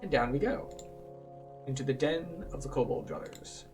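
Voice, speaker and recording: A man with a mid-pitched voice gives a casual game walkthrough. He talks as a guide, with faint music in the background. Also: big pitch swings, normal speed, distant mic.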